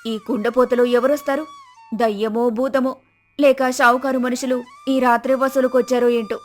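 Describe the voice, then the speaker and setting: A woman's voice: a little high, steady tone, moderate pace, faint music behind, close to an everyday voice. A woman playing a fearful, suspicious village fisherman's wife with a fretful, scolding way of talking in a moral story. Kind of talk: acted moral story dialogue.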